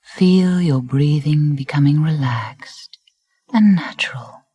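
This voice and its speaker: Slow, breathy low-voiced woman guiding relaxation. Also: dry recording. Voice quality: soft, breathy. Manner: soothing, deliberate, gentle phrasing with long pauses. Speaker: relaxation guide. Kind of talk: guided meditation narration. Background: music.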